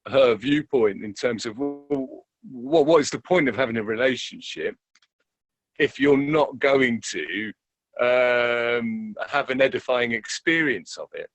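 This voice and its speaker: A man's voice: mid-range voice, measured pace, a bit bright, no echo, poor audio. A man reflecting in an interview, speaking hesitantly and repeating words as he thinks aloud. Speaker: interviewed actor.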